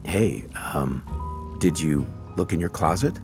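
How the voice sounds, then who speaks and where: A deep male voice over music, slow and halting, with swooping intonation. a stressed but affectionate client in an intimate audio drama scene. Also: lively intonation, heavily acted. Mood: fond.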